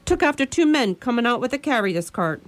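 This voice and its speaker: A woman's voice: on the high side, sing-song, a little fast. A woman playing an anxious, deferential asylum attendant in a radio play, calling out urgently. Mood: urgent, alarmed. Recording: very noisy, loud ambience.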